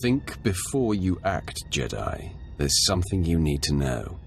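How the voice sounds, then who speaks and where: An actor voicing a menacing, calm Sith warrior in a video game, commanding and deliberate. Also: male voice, faint music behind, heavily acted. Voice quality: deep, gravelly. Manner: deliberate, commanding, coolly confident.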